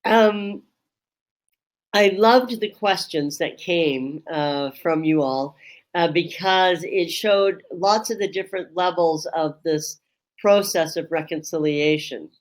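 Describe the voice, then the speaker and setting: A woman Reiki teacher hosting a webinar, with a strong voice that carries across a room. She talks in a slow, measured way and pauses often with ums. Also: on the low side. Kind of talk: reflective webinar talk. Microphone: webinar microphone.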